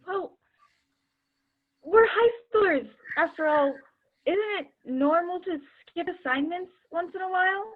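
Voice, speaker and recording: A woman's voice: very high-pitched, a little slow. A young woman acting in a play as an awkward, evasive teenage girl with a hesitant, defensive way of talking. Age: young adult. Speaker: student actor in a school play. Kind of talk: one-act play acting. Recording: tinny phone-like line.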